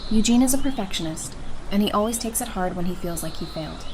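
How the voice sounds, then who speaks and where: An actress playing a caring, steady woman survivor in an audio drama, speaking in a gentle conversational way. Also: mid-range voice, quick pace, natural voice. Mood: understanding. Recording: clearly noisy outdoor ambience behind voice.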